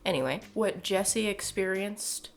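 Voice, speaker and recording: A woman's voice: medium pitch, expressive, measured pace. A young adult woman with a Canadian accent giving casual, emphatic opinion commentary to camera in a sardonic, exasperated way. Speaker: video commentator. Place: small home room, indoors.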